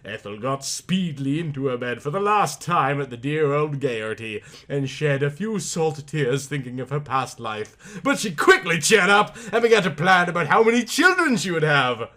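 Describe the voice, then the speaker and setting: Male storybook narrator, highish and very bright, dramatic delivery that stretches key words and swells and falls. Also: sing-song, moderate pace, hardly any pauses. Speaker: reader of a storybook. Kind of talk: theatrical comic audiobook narration.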